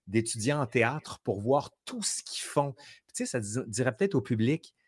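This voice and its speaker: A man's voice: mid-range voice, moderate pace, husky. A man with a Quebec French accent, a guest telling stories in casual conversation and acting out his own thoughts. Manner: animated storytelling, acts out quoted inner voices.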